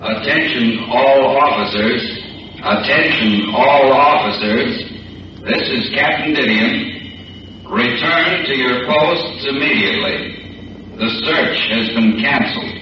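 An actor playing a commanding police captain in a 1950s radio drama, making a measured official announcement over a distant loudspeaker. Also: male voice, medium pitch, a little hiss or hum. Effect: distant echoing loudspeaker. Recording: echoing, distant, muffled old radio recording.

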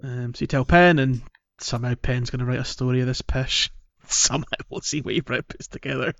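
A man's voice: medium pitch, fairly even intonation, a little fast, creaky, a bit bright, dull audio. A man co-hosting a casual gaming podcast, recounting things in a hesitant, rambling way. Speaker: podcast co-host.

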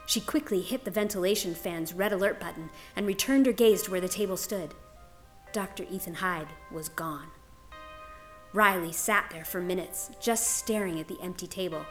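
A woman's voice: lowish voice, normal speed. A woman narrator reading a scripted story in a dramatic storytelling style. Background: music.

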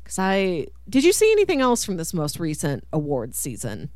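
A woman hosting a casual film discussion podcast, talking in an emphatic, animated manner. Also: lowish voice, normal speed, no echo. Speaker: podcast host.

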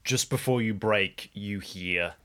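Low-pitched man casually telling a story. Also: slow pace, bright, forceful tone. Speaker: player, co-narrator.

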